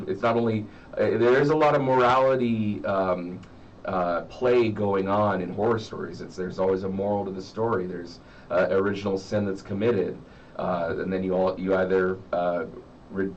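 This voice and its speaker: Middle-aged American man, low voice, halting reflective interview talk, muffled distant mic. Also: a little hiss or hum. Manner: halting, repeats words as he searches. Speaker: interview guest, horror story writer.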